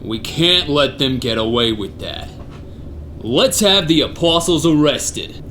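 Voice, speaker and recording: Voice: mid-range voice, sing-song, slow, a bit bright, heavily acted. An actor playing a pompous, irritable gangster boss in comic radio theater, domineering and scolding in mobster slang. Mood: scheming. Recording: faintly noisy recording.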